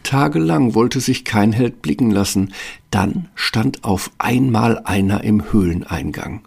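Gravelly male fairy-tale narrator. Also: on the low side, lively intonation, a little slow, darkish tone.

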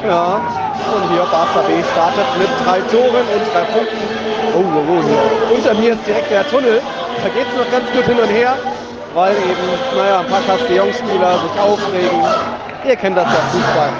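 A man's voice: high-pitched, normal speed, over loud music. A man giving informal football commentary into a handheld recorder from an outdoor stadium, as a podcast host on site. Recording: muffled, very noisy stadium crowd recording. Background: loud football fans and crowd.

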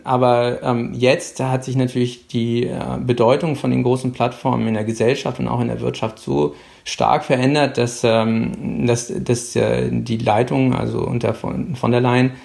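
A middle-aged man from Hamburg, a guest speaking over a phone line, answering in a halting way with many fillers. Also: mid-range voice, level tone, creaky, darkish tone. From Hamburg, Germany. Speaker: guest, policy official. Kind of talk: interview answers.

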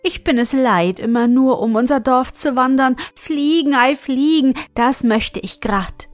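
A woman voicing an eager, impatient young village girl with a fine, excitable voice in a fairy-tale reading. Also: high-pitched, a little slow, no room sound, pitched above the performer's own voice. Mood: eager, delighted. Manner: excitable, sighing, exclaiming with longing.

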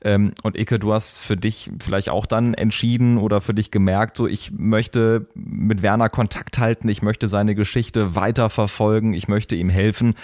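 A man's voice: fairly low pitch, steady tone, moderate pace, few pauses, a little mellow, no room sound, studio quality. A man hosting a podcast, interviewing in an earnest, supportive and conversational way. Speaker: podcast host.

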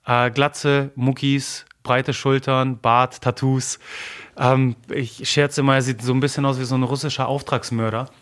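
A man whose first language is Polish, talking in a personal interview in an earnest, emotional way, pausing often with fillers and repeating words as he searches for them. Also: mid-range voice, level tone, fairly bright tone, smooth. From Germany. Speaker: interview guest.

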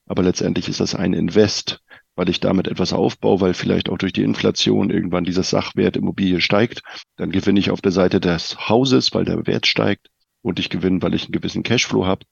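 A man's voice: low voice, fairly even intonation, brisk, vocal fry, slightly rough, no room sound, lo-fi sound. A middle-aged man giving direct, informal advice as a guest financial coach, explaining things with everyday examples. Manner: direct, informal, addresses listener as you.

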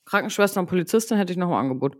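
A young woman with a fairly low voice chats playfully on a remote call. Her pitch swings a lot as she jokes and teases. She sounds casual and easygoing. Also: animated, rapid-fire. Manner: playful, jokey, laughs often. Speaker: podcast co-host. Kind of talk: casual chat.

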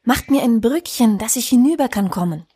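A woman's voice: a little high, expressive, fairly quick, dark tone, husky. A woman voicing a sweet, clever little girl in a fairy-tale audio play, chanting a rhyme in a sing-song way. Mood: coaxing.

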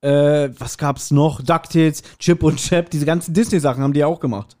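Higher-voiced man chatting nostalgically. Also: fairly even intonation, brisk, a little mellow. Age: 40s. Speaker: podcast co-host. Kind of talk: casual nostalgic chat.

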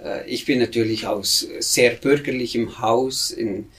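A middle-aged man from central Switzerland with a Swiss German accent, calmly telling his story as a missionary guest in a studio podcast interview. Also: medium pitch. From central Switzerland, near Bern. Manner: calm, unhurried storytelling with fillers.

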